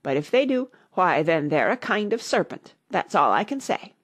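A woman's voice: lowish voice, big pitch swings, normal speed, husky, dry recording, clean studio sound. A woman voicing a frantic, quarrelsome mother pigeon in a storybook, complaining and exclaiming with suspicious scorn. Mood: scornful. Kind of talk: storybook character reading.

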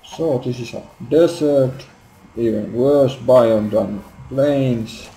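A young man with a Finnish accent, quietly and hesitantly commenting on his own game play, swearing often, recorded on a noisy laptop microphone at home. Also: medium pitch, takes it slowly, reverberant. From Finland. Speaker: gameplay video maker. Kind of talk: casual gameplay commentary. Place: home room. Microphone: built-in laptop mic.

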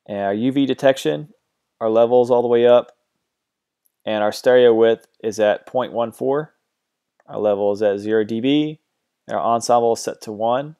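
A man talking through a software tutorial in a relaxed, hesitant way, with many ums and uhs as he describes settings. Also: medium pitch, normal speed, smooth. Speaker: music production tutor. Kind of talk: screen-recorded software tutorial.

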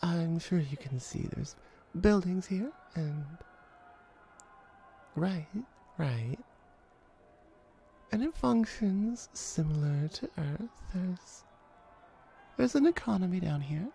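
A woman playing a flirty, laid-back female hellhound in an ASMR audio roleplay, talking to the listener in a wry, conversational way with hesitant pauses. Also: on the low side, animated, mellow, slightly rough, full character voice. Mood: patient, explaining.

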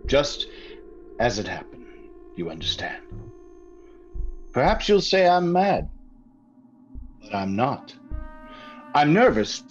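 A man playing a nervous, obsessive murderer confessing in an old-time radio horror style, intense and lingering over words. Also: mid-range voice. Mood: nervous, insistent. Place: indoor home room, group video call. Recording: dull sound, music underneath.

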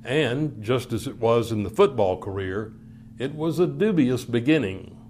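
A man reading scripted documentary narration in a measured, formal way. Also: medium pitch, animated, a little mellow, slight background noise.

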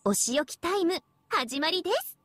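A woman's voice: extremely high pitch, very animated, normal speed. A woman voicing a smug, teasing, villainous young schoolgirl in a Japanese mobile game, with a cutesy, theatrical manner. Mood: menacing, playful.

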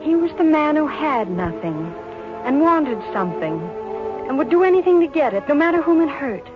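An actress playing an earnest mother remembering a troubled love, in intimate, halting 1940s radio drama style. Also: high-pitched, sing-song. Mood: anguished. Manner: wistful, halting, emotional delivery with dramatic repetition. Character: earnest mother recalling her troubled love for a hard man. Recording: dull 1940s radio recording, music behind.

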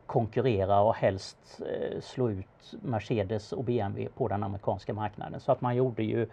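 A man giving casual expert commentary in a conversation, speaking haltingly with pauses in mid-sentence. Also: lowish voice, dark tone, highs cut off. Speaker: car expert answering questions about an auction car.

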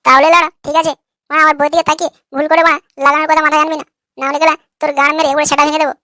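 A man acts out an irritable young cartoon husband with a high, artificially raised voice. He is crude and combative, firing words out very fast in a sharp, bright tone. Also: flat delivery, dry recording, studio quality. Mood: angry. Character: irritable, foul-mouthed, quarrelsome young husband. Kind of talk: comic cartoon dialogue.

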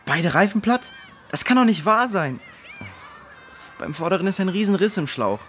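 Earnest high-voiced schoolboy. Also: male voice, sing-song, normal speed, a bit bright, slight background noise. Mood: flustered, dismayed. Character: earnest, bright, trusting schoolboy tinkerer. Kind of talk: children's audio drama acting.

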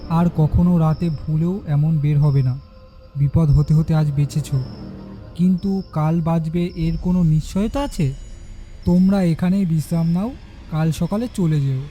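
A man's voice: fairly high pitch, mellow, voice pushed up, natural voice. A man voicing a concerned older village man who speaks in a grave, fatherly, cautioning way.

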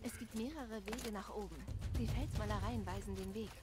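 An actress playing a determined young huntress hero who speaks calmly and plainly. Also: female voice, medium pitch, a little fast, lightly acted.

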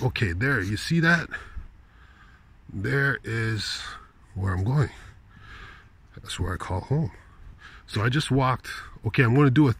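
A man's voice: medium pitch, breathy edge. A man rambling casually in slangy, incredulous asides, speaking into a handheld phone while walking outdoors at night. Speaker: vlogger. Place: outdoors at night on a park path.

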